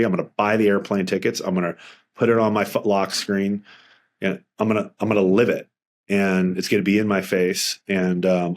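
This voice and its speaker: A middle-aged man speaking as a financial advisor in a casual interview, earnest and rambling with frequent restarts. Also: on the low side.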